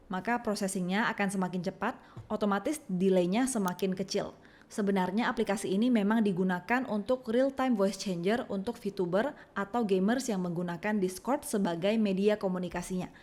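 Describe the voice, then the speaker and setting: A woman with an Indonesian accent reading a scripted software tutorial, giving clear step-by-step instructions. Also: medium pitch, brisk. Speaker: tutorial narrator.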